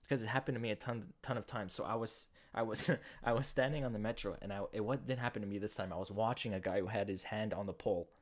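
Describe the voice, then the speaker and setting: A man's voice: on the low side, fairly even intonation, fast talker, few pauses. A young man with a slight lisp, hosting a solo podcast and rambling through jokey, self-deprecating stories. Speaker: podcast host. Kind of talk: casual solo podcast monologue.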